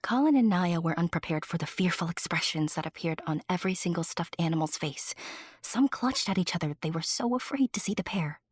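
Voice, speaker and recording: A woman's voice: fairly low pitch, animated, brisk, breathy edge, no room sound, clean studio sound. A woman narrator reading a scripted story for an audio drama.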